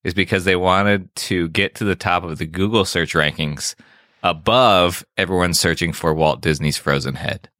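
A man co-hosting a casual comedy podcast, joking in a deadpan, offbeat way. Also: deep voice, normal speed, dry recording, clean studio sound. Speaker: podcast co-host.

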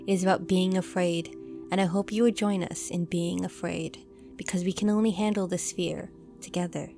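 A woman reading scripted narration for a horror audio drama trailer in a measured, dramatic delivery. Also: fairly low pitch, steady tone, gravelly, dark tone. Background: music.

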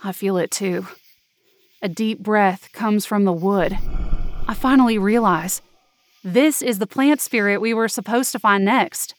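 A woman's voice: mid-range voice, measured pace. A woman narrates a dramatic first-person story in an earnest, suspenseful way. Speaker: narrator of a fiction podcast, in character. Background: music under the voice.

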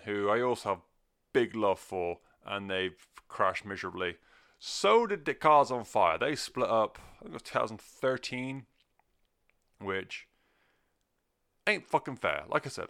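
Guy chatting about records, lowish crisp voice, rambly and sweary, kinda self-deprecating. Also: fairly bright tone. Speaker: music album reviewer. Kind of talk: casual unscripted review chat.

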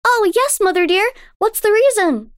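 A woman's voice: very high-pitched, animated, normal speed, dry recording, clean studio sound, voice pushed up. A woman voicing a sweet, curious young girl in a storybook, with an eager, earnest, questioning manner.

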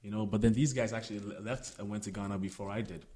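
Young man, low voice, laid-back chat, lots of fillers. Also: quick pace. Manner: relaxed, many fillers like "like" and "you know", laughs. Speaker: filmmaker guest. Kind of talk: casual conversation.

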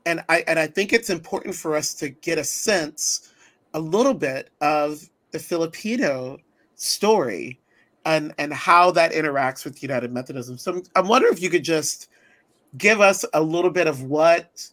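A man's voice: a little high, animated, normal speed, a bit bright. An American man hosting a podcast in a casual interview style, feeling his way through rambling, hesitant questions. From United States. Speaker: podcast host.

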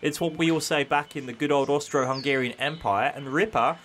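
A man's voice: on the high side, fairly even intonation, a bit bright, a little hiss or hum, close to an everyday voice. A man playing a cheeky, scheming convict who talks in matey slang in a comic story. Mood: breezy bluffing. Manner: matey, slangy fast-talker. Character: cheeky, scheming male convict posing as easygoing nobleman.

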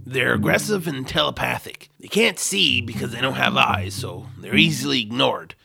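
Gravelly male troll acted by a man, grumbling, blunt, food-loving, very animated delivery, audio drama, music underneath. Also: mid-range voice, moderate pace. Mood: dry, explanatory. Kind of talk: family audio drama acting.

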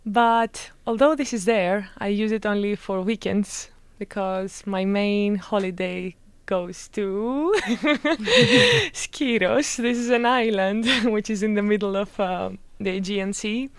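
A woman's voice: mid-range voice, slow pace, smooth, dry recording. A young woman from northern Greece, co-hosting a student radio talk show in casual, laughing chat. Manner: laughs often, chatty.

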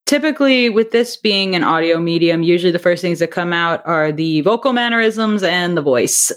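A woman in a casual interview chat, talking in an animated way and acting out bits of quoted thought as she explains her creative work. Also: lowish voice, moderate pace. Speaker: interview guest, audio drama writer and director.

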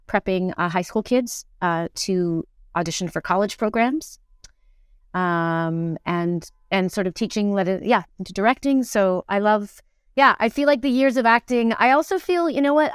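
A middle-aged woman speaks in a smooth, clear voice at a medium pitch. She talks casually, thinking aloud as an interview guest. The recording is close and dry, with very little room sound. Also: dry recording. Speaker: interview guest, musical theatre performer and teacher. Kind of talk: casual reflective interview talk.

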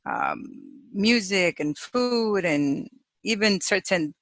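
A woman from Chicago talks in a bright, forceful voice, recorded dry and close with no room around her. She laughs easily and pauses to think, filling gaps with soft fillers. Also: mid-range voice, lively intonation, no echo. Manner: warm, laughs often, thoughtful pauses with fillers. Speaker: guest, clinical psychologist and sex therapist. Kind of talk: casual interview chat. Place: home office in Hawaii.

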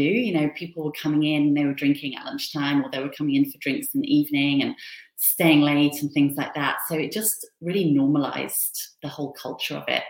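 Low-voiced woman telling her story, roomy sound. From Switzerland. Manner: reflective, open, unhurried telling. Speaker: guest. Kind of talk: candid personal recovery story. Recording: roomy echo.

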